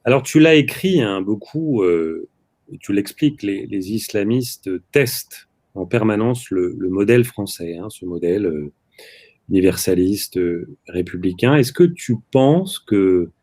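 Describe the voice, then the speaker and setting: A man's voice: fairly low pitch, expressive, a little slow, darkish tone, no room sound. A man interviewing a writer, asking long questions with many hesitations. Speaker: interviewer, journalist.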